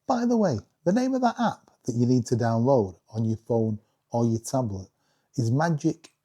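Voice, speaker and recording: A British man with a husky voice giving a casual, chatty hobby review with self-deprecating humour. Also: medium pitch, sing-song, moderate pace, mellow. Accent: British English. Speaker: video creator reviewing radios.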